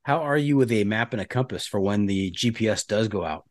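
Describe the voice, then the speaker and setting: A man's voice: on the low side, fairly quick, no room sound. American male podcast host, dry studio sound. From United States. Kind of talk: casual interview chat.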